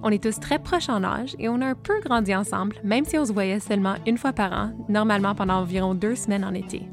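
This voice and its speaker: A woman hosting a family podcast, narrating in clear explanatory tones and interviewing her cousins. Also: medium pitch, fast talker, faint music behind. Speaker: podcast host.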